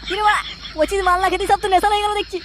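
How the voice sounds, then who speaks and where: An actor voicing a bossy, foul-mouthed male drunkard in a crude cartoon, with a pitched-up voice. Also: steady tone, very fast, background noise. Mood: bossy, boisterous. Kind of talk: crude comic cartoon dialogue.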